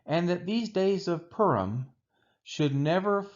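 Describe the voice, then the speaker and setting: A man reading the Bible aloud as scripted narration, delivering it deliberately, phrase by phrase with pauses. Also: sits high, lively intonation, highs cut off. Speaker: scripture reader.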